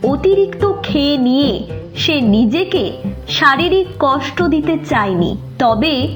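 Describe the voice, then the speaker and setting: Lady reading a kids story, high voice, takes it slow, soft music under it. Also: lively intonation. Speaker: narrator. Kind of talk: scripted children's story narration.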